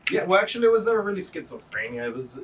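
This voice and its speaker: A man's voice: high-pitched, sing-song, very fast. A man from Los Angeles, a guest in a casual chat, laid-back and rambling, swearing often. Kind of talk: casual chat over a beer pong game. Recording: distant mic with loud background noise.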